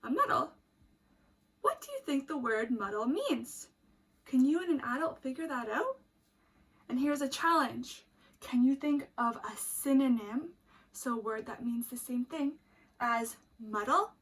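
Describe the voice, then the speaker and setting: A woman with a high voice reads a children's storybook as a teacher would. Her delivery is slow and emphatic, shaped clearly for young listeners and addressed straight to them. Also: lively intonation. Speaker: teacher reading a picture book to children. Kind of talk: expressive storybook read-aloud with questions for young children.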